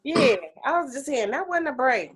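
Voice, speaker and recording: A woman teaching an energy healing class on a video call, chatty and animated, with lots of fillers. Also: sits high, moderate pace.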